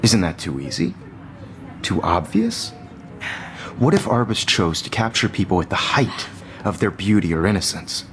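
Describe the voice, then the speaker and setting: Lively, self-assured male teacher. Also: low-pitched, moderate pace, long pauses, a little hiss or hum. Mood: provocative, assured. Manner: theatrical, glib lecturing. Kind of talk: classroom lecture in a video game.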